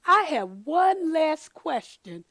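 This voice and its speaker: A woman reading a children's picture book aloud expressively, deliberately addressing children and explaining the pictures. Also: high-pitched, big pitch swings, a bit bright, dry recording.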